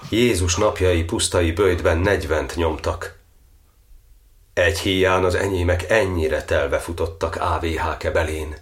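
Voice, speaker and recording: A man's voice: deep voice, steady tone, close to an everyday voice. An actor playing a tormented imprisoned cardinal, recounting his suffering in declamatory verse for a radio play. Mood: bitter, weary.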